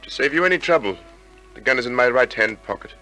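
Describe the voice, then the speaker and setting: A man's voice: medium pitch, expressive, fast talker, sharp tone, rough recording. An actor playing a cool, cooperative gunman in a 1950s radio crime drama. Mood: cool, compliant. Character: cool, cooperative male gunman, protective brother. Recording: old radio drama recording with music.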